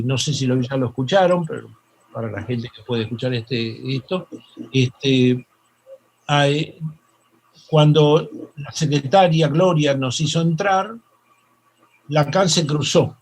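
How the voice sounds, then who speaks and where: An older Argentine man with a Rioplatense accent, a psychoanalyst telling stories in an interview, halting with many fillers and restarts. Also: fairly high pitch, a little mellow, slightly rough, poor audio. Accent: Rioplatense Spanish. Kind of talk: reflective interview storytelling.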